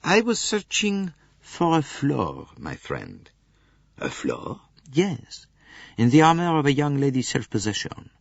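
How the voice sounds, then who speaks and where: Precise, lively Belgian detective. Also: male voice, mid-range voice, vocal fry, no room sound, pitched above the performer's own voice, full character voice. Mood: pleased, probing. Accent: Belgian French. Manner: deliberate, emphatic, precise. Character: shrewd, genial, precise Belgian male detective. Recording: muffled lo-fi audiobook recording.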